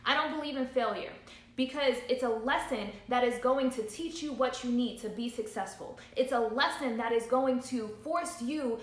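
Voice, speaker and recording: A woman's voice: fairly high pitch, normal speed. Earnest woman in her thirties, roomy echo. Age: 30s. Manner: earnest and emphatic, repeats key points. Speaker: business coach. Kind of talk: motivational livestream talk.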